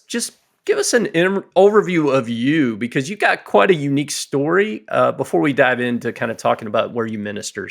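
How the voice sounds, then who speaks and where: A man's voice: mid-range voice, gravelly, a bit bright. A man hosting a church-leadership podcast, welcoming a guest with upbeat enthusiasm. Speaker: podcast host.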